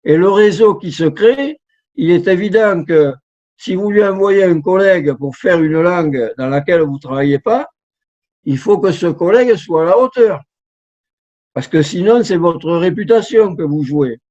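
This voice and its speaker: A man's voice: sits high, animated, normal speed, darkish tone. A middle-aged man, a professional translator, giving an informal conference talk from home over a video call, with frequent restarts and repeated words. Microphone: computer mic on a video call. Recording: dull lo-fi video call audio.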